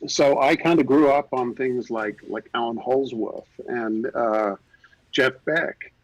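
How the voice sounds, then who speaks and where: A man from Australia with a softened Australian accent, telling his story casually over a video call with many fillers. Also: mid-range voice, takes it slowly, no echo, low-quality recording. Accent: Australian, softened by years in the US. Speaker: guest, art designer.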